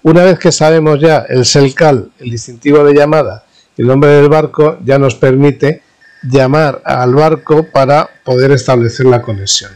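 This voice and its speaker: A man with an accent from Spain gives an informal technical tutorial as an instructor, explaining haltingly and checking in with his listeners. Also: mid-range voice, darkish tone. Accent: Spanish (Spain). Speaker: university professor, instructor.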